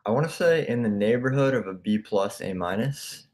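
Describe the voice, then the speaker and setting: A man's voice: on the low side, expressive, moderate pace, darkish tone. A young college-age man co-hosting a casual sports podcast, laid-back and reading out trade details. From Philadelphia area. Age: young adult. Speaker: podcast co-host.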